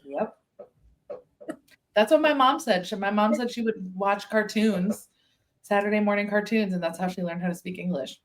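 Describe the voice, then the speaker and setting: A woman's voice: medium pitch, moderate pace, pauses often, a little hiss or hum. A woman hosting a podcast, chatting casually and reacting informally to what she hears. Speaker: podcast host. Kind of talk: casual chat.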